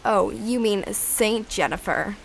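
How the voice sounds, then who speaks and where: A woman's voice: mid-range voice, takes it slowly, creaky, a little hiss or hum, low-quality recording. Sassy, sarcastic schoolgirl played by a young female. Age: child or teenager. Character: sporty, sarcastic schoolgirl with quick comebacks. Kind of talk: amateur radio drama acting.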